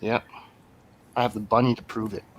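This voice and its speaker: A man's voice: on the low side, level tone, normal speed, crowd noise, poor audio. A man chatting casually as a guest reviewer on a movie radio show, with dry, joking remarks. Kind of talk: casual chat, movie banter. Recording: clear background noise, room ambience.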